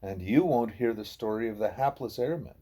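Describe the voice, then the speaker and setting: A man voicing a wise, patient gorilla teacher who lectures in a deliberate, formal way. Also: fairly low pitch, animated, dark tone, slightly rough. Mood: solemn.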